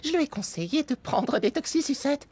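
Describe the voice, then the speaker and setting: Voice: sits high, big pitch swings, brisk, a little mellow. A performer voicing a hesitant, apologetic young cartoon creature in a French dub. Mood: confessing.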